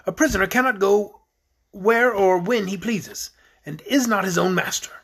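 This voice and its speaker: A man's voice: high voice, normal speed, a bit bright, breathy edge, heavily acted. A man voicing an obliging, modest gnome chamberlain in a storybook, with a deferential, hesitant manner. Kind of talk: storybook character voice.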